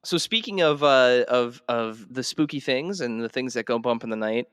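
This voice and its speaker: Man, mid-pitched, podcast co-host, casual chat, halting delivery with repeated words, dry close sound. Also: fairly bright tone, clean tone, dry recording.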